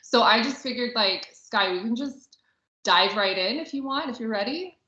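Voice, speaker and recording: A woman's voice: a little high, lively intonation, moderate pace, pushed and bright. A woman co-hosting a podcast as a romance author, talking in a casual, chatty style. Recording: roomy, echoey, slightly muffled podcast audio.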